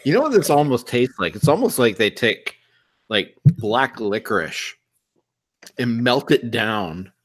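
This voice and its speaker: A man with a middle-pitched voice co-hosts a casual beer podcast. He is stuffed up from a cold and talks in an earnest, measured way, thinking aloud. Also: very animated. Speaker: beer review podcast host. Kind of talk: casual chat.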